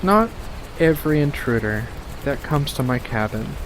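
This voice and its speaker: A man voicing a playful, mischievous furry witch of the woods in a soft-spoken, teasing ASMR roleplay, full of gentle endearments. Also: mid-range voice, lively intonation, moderate pace, slight background noise, lightly acted, poor audio. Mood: teasing, apologetic.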